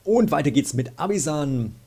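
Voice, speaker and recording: A man's voice: on the high side, expressive, normal speed, darkish tone. A young man hosting a weekly game show, casually answering viewer questions and thinking aloud with plenty of fillers. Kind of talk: casual chat. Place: small room indoors, at a desk.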